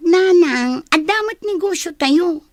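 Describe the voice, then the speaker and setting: A woman's voice: very high-pitched, animated, slow pace, no echo, studio quality, heavily acted. A woman acting as a lazy, stubborn student daughter in a radio drama, whiny and dismissive. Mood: defiant.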